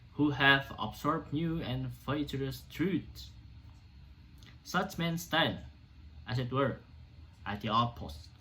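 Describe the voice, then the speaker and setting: A man playing a defiant, indignant doctor orating to a hostile crowd in an amateur dramatic reading of a stage play, emphatic and sometimes halting. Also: medium pitch, a bit bright, poor audio. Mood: indignant, fervent. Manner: emphatic, declamatory, halting in places.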